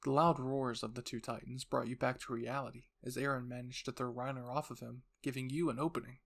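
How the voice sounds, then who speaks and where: A man narrates a dramatic scripted tale. His voice sits at a medium male pitch, and he delivers it as a storyteller. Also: level tone, a little fast, gravelly, fairly bright tone. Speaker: narrator and voice actor.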